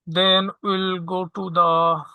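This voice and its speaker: A tutorial instructor walking through software step by step, often checking in with a short questioning tag. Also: mid-range voice, little pitch movement, slow pace, clean tone, no room sound. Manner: checks understanding with frequent "okay?".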